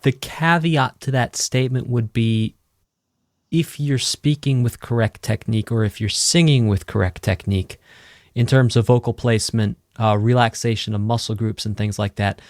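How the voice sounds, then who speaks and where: A man's voice: mid-range voice, steady tone, normal speed, dry recording, clean studio sound. A man hosting a podcast, asking questions and explaining vocal technique in an articulate, precise way. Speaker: podcast host. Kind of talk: interview questions.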